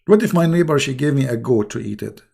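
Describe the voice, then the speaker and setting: Hoarse, gravelly man mocking his opponents. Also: medium pitch, animated, quick pace. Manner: mocking, scornful, with sudden loud rises. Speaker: YouTube channel host. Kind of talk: casual polemical monologue to viewers.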